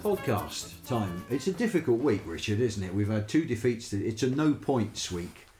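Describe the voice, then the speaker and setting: Male podcast host, casual football talk, lower register, echoey room sound. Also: very animated, normal speed, music in the background. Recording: roomy, echoey voice pickup.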